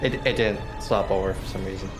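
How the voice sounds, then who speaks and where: A man's voice: low voice, steady tone, fast talker. A man chatting casually as a player in a tabletop roleplaying game. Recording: loud music under the voice. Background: loud background music.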